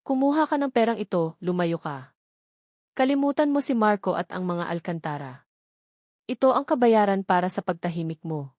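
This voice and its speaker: A woman's voice: medium pitch, lively intonation, normal speed, a little mellow, no echo, lightly acted. An icy, commanding matriarch of a wealthy family, as a woman narrator puts her on in a dramatic audio story. She is older, haughty and threatening, and the sound is dry with little room to it. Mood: cold, commanding.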